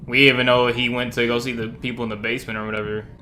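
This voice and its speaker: A man's voice: mid-range voice, flat delivery, very fast, bright, forceful tone, a little hiss or hum. A man hosting a reaction video, commenting casually in slangy, informal speech. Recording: music behind the voice.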